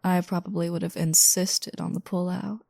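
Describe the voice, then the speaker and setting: A woman playing a playful, teasing new girlfriend just waking up, speaking softly and intimately in a roleplay audio. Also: lowish voice, little pitch movement, no echo. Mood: sincere, reassuring. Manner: soft, breathy asides, teasing, unhurried.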